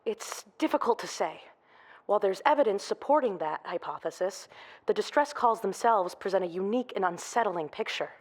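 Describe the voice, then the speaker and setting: A woman's voice: medium pitch, expressive, brisk, lightly acted. Actress as a careful, formal female expert. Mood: cautious, professional. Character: cautious, professional female forensic audio examiner. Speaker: expert podcast guest. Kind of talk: scripted audio drama interview.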